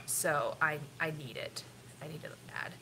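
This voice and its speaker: A woman's voice: sits low, steady tone, unhurried, gravelly, pushed and bright, whispered, low-quality recording. A middle-aged woman with a stuffy, sniffly nose, rambling casually with lots of ums while hosting a family live stream. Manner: rambling, chatty, with frequent ums.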